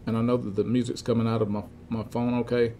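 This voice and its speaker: An older man talks through an equipment demonstration in a low-key, mellow voice with a gravelly edge. He goes step by step, haltingly and methodically. Faint room noise sits behind him in a workshop. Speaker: repair technician demonstrating equipment checks. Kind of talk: informal instructional demonstration. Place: cluttered indoor electronics workshop. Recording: clear steady background room noise.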